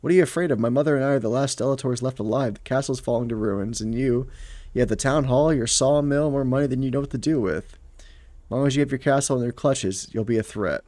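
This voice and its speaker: A man's voice: medium pitch, quick pace, dark tone, no room sound, close to an everyday voice. A man as a cold, haughty noblewoman. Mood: cold, scornful. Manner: scornful, imperious. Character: cold, haughty, menacing noblewoman of a fantasy story.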